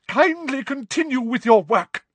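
A man voicing an overwrought, eccentric older female seer teacher, speaking in a high, throbbing, theatrical voice. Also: animated, a little slow, a bit bright, dry recording, exaggerated voice. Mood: furious.

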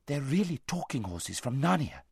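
A man voicing a fierce, serious young noble girl in a storybook, with urgent, breathy, hissed delivery. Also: mid-range voice, very animated, moderate pace, darkish tone, no room sound, pitched above the performer's own voice, exaggerated voice. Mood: anxious, serious. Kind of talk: audiobook character voice.

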